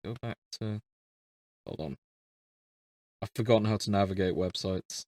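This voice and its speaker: A man's voice: fairly low pitch, moderate pace, darkish tone, dry recording. A man with an English accent chats casually as a podcast co-host in a wry, teasing way. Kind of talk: casual chat.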